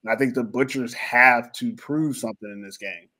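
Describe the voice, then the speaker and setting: A man's voice: mid-range voice, normal speed, sharp tone. A man hosting a sports podcast, chatting casually in a rambling, jokey, self-mocking way. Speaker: podcast host. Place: lives in Finland.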